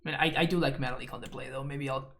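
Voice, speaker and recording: A woman's voice: rumbling low, fairly even intonation, very fast, fairly bright tone. A woman from Argentina, a native Spanish speaker with an Argentine accent, chatting casually and thinking aloud while streaming a card game. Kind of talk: casual gameplay commentary.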